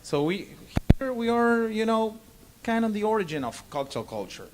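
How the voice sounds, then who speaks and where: Higher-voiced man with Argentine Spanish accent. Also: big pitch swings, background noise. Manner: halting non-native English, frequent "okay?" check-ins. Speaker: bartender giving a seminar. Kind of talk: informal lecture to a live audience.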